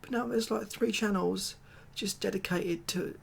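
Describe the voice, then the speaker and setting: A British man doing a soft-spoken ASMR comedy roleplay as a glum, overwhelmed ex-builder, rambling along in a hesitant, deadpan way. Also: high-pitched, a little slow, vocal fry, breathy edge. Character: glum, overwhelmed ex-builder made president of the world.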